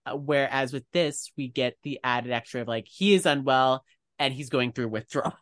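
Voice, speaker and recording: A man's voice: mid-range voice, normal speed, a bit bright, dry recording, clean studio sound. A man co-hosting a podcast, talking in a casual, conversational style. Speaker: podcast co-host. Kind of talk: casual discussion.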